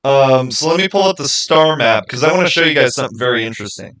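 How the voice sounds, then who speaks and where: A man hosting a livestream, answering viewers in casual, halting speech and thinking out loud. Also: medium pitch. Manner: halting, thinking aloud with repeated phrases. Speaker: livestream host.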